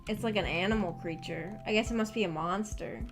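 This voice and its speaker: A young woman streamer talks in a casual, rambling way, thinking out loud as she responds to chat. Her voice sits at an average pitch for a woman, and music plays clearly behind her. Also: a little fast. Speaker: streamer, host of a visual novel book club. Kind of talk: casual livestream chat with viewers. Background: background music.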